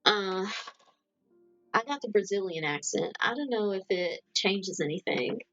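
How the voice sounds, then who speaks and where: A woman's voice: medium pitch, expressive, unhurried, a bit bright, dry recording, muffled recording, faint music behind. A woman with a mild Southern American accent, chatting loosely with her live audience, rambling and laughing often. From Southern United States. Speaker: livestream host. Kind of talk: casual chat with a live audience.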